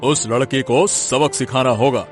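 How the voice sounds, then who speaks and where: A man's voice: medium pitch, a little slow, faint music behind, close to an everyday voice. A man playing a stern, domineering, vengeful village big man in a cartoon story, scolding and threatening. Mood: scolding, scheming. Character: menacing, vengeful village big man scheming against a young woman. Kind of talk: Hindi cartoon story acting.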